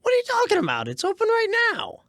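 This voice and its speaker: Shady talking rat for radio comedy: very high, wildly swooping, cocksure and wheedling. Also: male voice, huge pitch swings, fast, bright, forceful tone, no echo, studio quality, exaggerated voice. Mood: breezy, sly. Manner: folksy, wheedling, insistent. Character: shady, chatty rat con artist, cocksure schemer.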